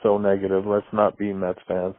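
A man leaving a casual voicemail opinion as a caller, speaking in a halting way. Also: deep voice, monotone, no room sound. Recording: muffled, narrow phone voicemail.

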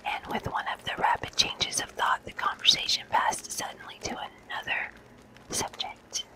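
A reader whispering a classic novel aloud in a breathy, hushed voice, now and then stumbling and repeating words. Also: moderate pace, rough recording. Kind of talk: whispered audiobook reading of a classic novel, ASMR-like.

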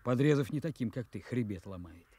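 An actor playing a brash, teasing young village know-it-all in a radio play, breezy and slangy with bits of pompous official jargon. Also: male voice, medium pitch, lively intonation, brisk, mellow, husky. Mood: cocky, persuading. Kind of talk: Soviet radio play acting.

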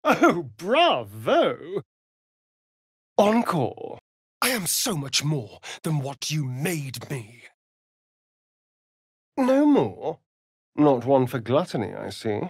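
A man's voice: fairly high pitch, huge pitch swings, extremely slow, long pauses, husky, no echo, studio quality, heavily acted. A man playing a vain, sardonic aristocratic vampire rogue in a video game, with a British accent and an arch, theatrical drawl. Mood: sarcastic. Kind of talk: video game character acting.

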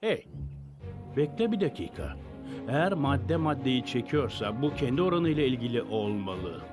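A man voices an excitable old-time cartoon physicist, thinking aloud theatrically. His mid-pitched voice swings up and down over clear music. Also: normal speed, close to an everyday voice. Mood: puzzled, then excited. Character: historical physicist puzzling out gravity, excitable. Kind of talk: animated children's cartoon dub. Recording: music behind the voice.

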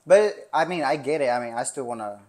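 A man's voice: medium pitch, lively intonation, fairly quick, a little mellow. A man in his late twenties, a podcast guest in casual chat, blunt and casually swearing, recorded on a lapel mic in a living-room set. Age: 20s.

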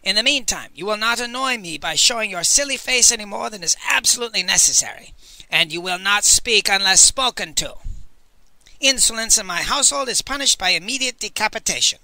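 A man voicing an enormous, cold old woman tyrant who grunts and growls her orders in a loud, clipped way. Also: sits high, lively intonation, fairly quick, dry recording, voice pushed up, full character voice, poor audio. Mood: commanding.

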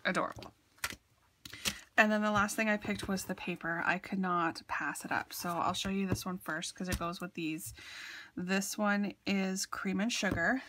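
A woman's voice: mid-range voice, steady tone, moderate pace, sharp tone, slight background noise. A woman with a sniffly, stuffed-up voice, chatting casually and gushing as she shows off craft supplies on camera, with a lot of ums. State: sniffly from allergies. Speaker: craft haul vlogger. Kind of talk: casual show-and-tell.

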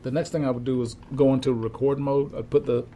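An older man giving an informal instructional demonstration, explaining step by step in a halting, methodical way, recorded in a workshop. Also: medium pitch. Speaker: repair technician demonstrating equipment checks. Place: cluttered indoor electronics workshop. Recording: clear steady background room noise.

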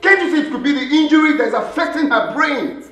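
A man's voice: very high-pitched, expressive, quick pace, bright, forceful tone, faint music behind, full character voice. A man with a Nigerian accent playing a headstrong, indignant prince in a film drama, speaking emphatically and repeating himself. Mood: defiant. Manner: emphatic, repetitive, defiant. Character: headstrong, indignant prince defending his marriage. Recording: roomy echoing film sound.

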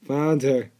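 A young man in a small bedroom, rambling and joking through a cartoon reaction video on an earbud mic, with mumbled asides and swearing. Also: medium pitch. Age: young adult. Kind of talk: casual cartoon reaction commentary. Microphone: earbud headset mic.

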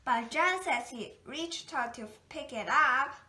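Slow, bright-voiced woman reading aloud. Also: high voice, animated, slight background noise. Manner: slow, gentle storytelling. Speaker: picture book reader. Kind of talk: children's read-aloud. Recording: echoey room.

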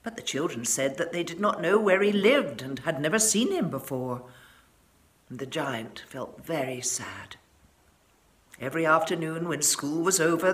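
An older woman in her sixties reads a storybook for children. Her voice sits a little low and she takes her time over each phrase. The delivery is expressive and dramatic, easing down into hushed tones. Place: furnished living room, indoors.

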